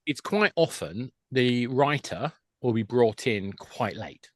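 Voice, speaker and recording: Male industry expert guest. Medium pitch, dry sound, casual but emphatic, lays out points at length. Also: animated, slow, no echo. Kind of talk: podcast discussion, casual explaining.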